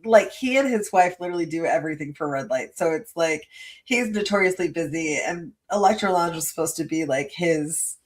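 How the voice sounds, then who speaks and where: A woman in her forties hosting a late-night talk show, swearing freely, laughing often and acting out quotes in animated chat. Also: sits low, brisk. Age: 40s. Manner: animated storyteller, acts out quotes. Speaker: host. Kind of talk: casual chat.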